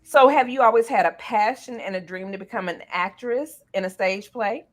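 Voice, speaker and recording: A woman's voice: mid-range voice, normal speed. A woman hosting a casual talk show interview, speaking to guests in an encouraging, playful way.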